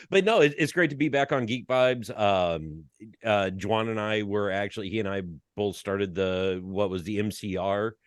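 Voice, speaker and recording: Deep booming-voiced man in basement. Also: measured pace, smooth, no echo, studio quality. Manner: booming, self-described. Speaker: guest, producer. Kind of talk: casual chat. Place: home basement.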